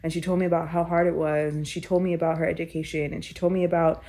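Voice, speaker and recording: A young woman from Kenya with a lowish voice tells her own story in a sit-down interview. She speaks reflectively and casually, leaning on filler words as she thinks aloud. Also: flat delivery, fast talker, warm tone. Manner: reflective, conversational, many "like" fillers. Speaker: storyteller guest.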